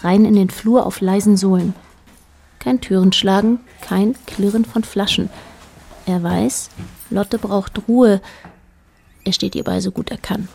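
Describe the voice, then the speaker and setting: A woman narrating a literary radio drama in a dry, measured, quietly ironic manner. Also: medium pitch, steady tone, creaky, husky.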